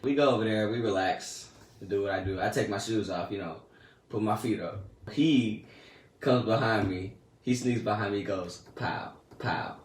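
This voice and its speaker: A teenage boy casually telling a story about his day in slangy, laid-back talk that often trails off soft and quiet. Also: on the low side, normal speed, distant mic. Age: teens. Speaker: vlogger. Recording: echoey room, a bit off-mic, faint noise.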